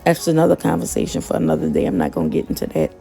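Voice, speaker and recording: A woman in a casual vlog, talking about motivation in an unhurried monotone. Her voice is deeply gravelly and mellow. Soft music plays faintly behind her. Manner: monotone, self-described; conversational, many fillers and restarts. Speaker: motivational vlogger and gig worker.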